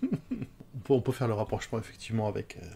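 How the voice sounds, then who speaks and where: A man's voice: lowish voice, sing-song, fast talker, gravelly, mellow. Man hosting casually, noisy mic. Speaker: podcast host. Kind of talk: casual moderating chat.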